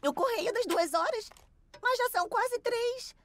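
A woman with a Brazilian accent voicing a proud, fretful mother telephone in a children's cartoon, with a creaky voice. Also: very high-pitched, lively intonation, unhurried. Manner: fretful, fussy.

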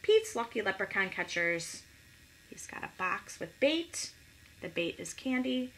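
A middle-aged woman reading a picture book expressively to young children, pausing to ask them questions. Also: mid-range voice, big pitch swings, fairly bright tone, a little hiss or hum, poor audio. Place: small living room at home, indoors.

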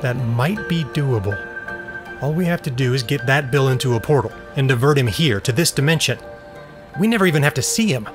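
An earnest, practical man, played by an actor in an audio drama. He is plainspoken, with a mid-pitched voice. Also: animated, fairly quick, music in the background, lightly acted.

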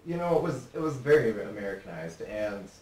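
A young man, a guest on a TV interview, answering in a relaxed, halting way with many fillers. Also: fairly low pitch. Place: TV studio set. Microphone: desk mic from a distance. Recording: roomy studio sound, mic at a distance, faint hiss.

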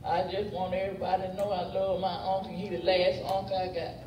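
An older man speaks in a high voice, giving a plain-spoken tribute that circles back over its points. The sound is roomy and distant, with music clearly behind him. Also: monotone, fast talker, muffled recording. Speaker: mourner giving a family tribute. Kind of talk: informal funeral remembrance. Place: large church sanctuary, indoors. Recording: echoey church from far off, music behind.

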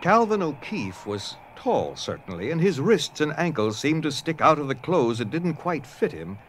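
A man's voice: on the high side, sing-song, normal speed, a little hiss or hum. A man reading scripted narration for a dramatized story. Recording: music under the voice.

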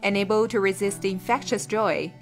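A woman's voice: mid-range voice, steady tone, brisk, loud music behind the voice. A young woman narrating a travel vlog in a cheerful, playful scripted style.

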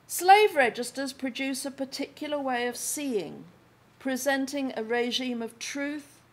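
An older woman reads her own prose aloud at a lectern microphone, taking it slowly and deliberately, phrase by phrase, with pauses between. Her voice sits a little high for a woman and stays unhurried throughout. The sound is of a lecture room indoors. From England. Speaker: author reading from her book. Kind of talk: slow measured reading aloud at a lectern.

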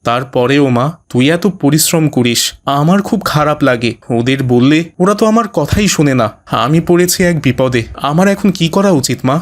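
A man's voice: on the high side, normal speed, natural voice. Man acting a kindly, troubled father. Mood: tender, troubled. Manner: earnest, reproachful, caring. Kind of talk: animated moral cartoon voice acting.

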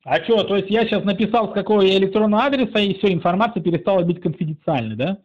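A man's voice: sits high, racing. Sarcastic man on a muffled call. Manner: sarcastic, teasing, drawling. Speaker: channel author pranking a phone scammer. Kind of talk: casual mocking phone chat.

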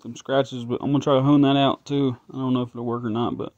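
A man's voice: mid-range voice, little pitch movement, quick pace, a little mellow, a little hiss or hum. Rambling man in a garage, lo-fi. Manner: rambling, thinking aloud, frustrated. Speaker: home mechanic vlogger. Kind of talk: casual garage walkthrough. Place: home garage workbench. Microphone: phone camera held close.

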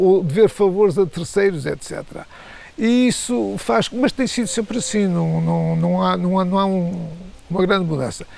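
Older man, high voice, gravelly texture, hesitant interview answers. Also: a little mellow. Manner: hesitant, many restarts and repeated words.

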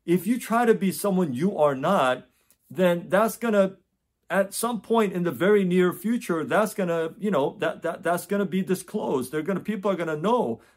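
A man's voice: sits high, moderate pace. A man in his forties from the United States, giving earnest advice straight to camera from a home office, often restarting phrases and checking in with "okay?". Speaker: test prep tutor. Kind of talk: direct-to-camera advice talk. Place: home office with framed certificates.

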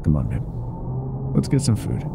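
A man playing an older ex-mafia boyfriend, wry, tender and self-doubting, with a husky, breathy voice in an intimate roleplay audio. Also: low voice, wildly swooping pitch, normal speed, noisy background, exaggerated voice. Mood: wry, affectionate.

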